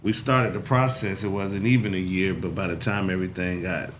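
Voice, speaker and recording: Deep-voiced man hosting a laid-back chat. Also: fairly even intonation, brisk, a little hiss or hum. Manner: laid-back, rambling, says "you know what I'm saying" often. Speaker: podcast host. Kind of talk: casual chat.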